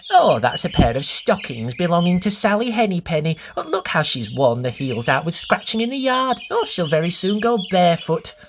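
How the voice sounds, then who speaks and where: A woman's voice: lowish voice, sing-song, normal speed, hardly any pauses, a little hiss or hum. A woman voicing a stout little hedgehog washerwoman from a storybook, anxious, eager to please and fussily polite. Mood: chatty, fussy. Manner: fussy, polite servant talk with repeated apologies and exclamations.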